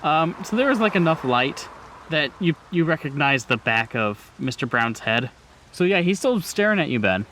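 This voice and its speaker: A man's voice: fairly high pitch, very animated, moderate pace, bright, forceful tone, slight background noise. A man narrating a tabletop roleplaying game as game master in an informal, conversational way.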